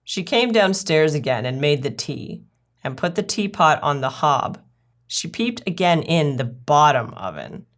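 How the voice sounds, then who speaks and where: Woman, low-pitched voice, storyteller, children's book narration, read aloud. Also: big pitch swings, measured pace.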